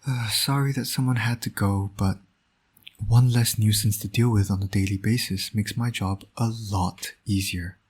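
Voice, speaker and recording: A low, husky male voice for a roleplay audio drama , a man acting it out. He is a smug villain who flirts and needles with dry sarcasm, yet shows a fatherly soft side. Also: steady tone, normal speed. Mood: flirtatious, smug. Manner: smug, teasing, sardonic. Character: teasing, flirtatious male supervillain, doting secret father.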